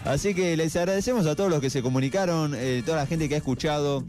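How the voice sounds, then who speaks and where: Fast-talking Buenos Aires radio man. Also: a little high, a little mellow. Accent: Buenos Aires Argentine. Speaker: radio co-host. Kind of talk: casual late-night radio chat. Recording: music bed behind the voice.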